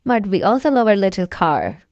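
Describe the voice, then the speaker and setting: A woman's voice: medium pitch, very animated, moderate pace, darkish tone, dry recording, lo-fi sound. A woman voicing a cheerful, eager little girl pig from a storybook in a childlike way.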